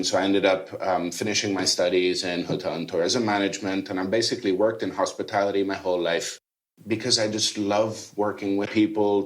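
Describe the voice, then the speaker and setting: Deep-voiced middle-aged man, chatty. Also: low-quality recording. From Rome, Italy. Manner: chatty, enthusiastic, casual fillers. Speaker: chef and food tour guide. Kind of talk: podcast interview.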